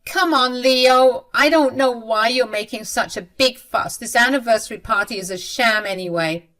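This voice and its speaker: A woman's voice: on the high side, moderate pace, a bit bright, pitched above the performer's own voice, natural voice. A woman voicing an irritated, indignant middle-aged mother who exclaims and protests her innocence in an audio story. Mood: irritated, defiant.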